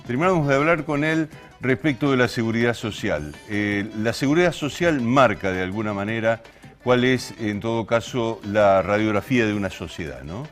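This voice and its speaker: A man's voice: fairly low pitch, expressive, normal speed, soft background music. An Argentine man hosting an interview programme and commenting to the audience. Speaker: TV programme host.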